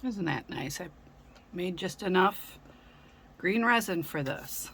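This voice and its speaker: A woman hosting a casual craft tutorial, speaking gently with frequent ums, her voice a little raspy from a sore throat. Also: on the low side, animated, slow pace, slight background noise. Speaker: craft channel host.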